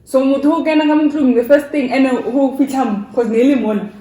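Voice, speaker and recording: A woman's voice: sits high, fast, warm tone. The sound is roomy and echoing around a young South African woman. She talks casually, as if swapping stories at home, and slips easily between languages in an informal way. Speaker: YouTuber hosting a story time with her mother. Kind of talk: casual chat and storytelling.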